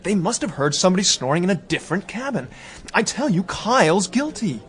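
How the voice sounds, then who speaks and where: High-pitched, excitable, blundering young man. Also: a little fast. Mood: insistent. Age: young adult. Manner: stammering, excitable, blunt. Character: eager, impulsive, blundering young man accusing a suspect. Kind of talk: radio drama acting.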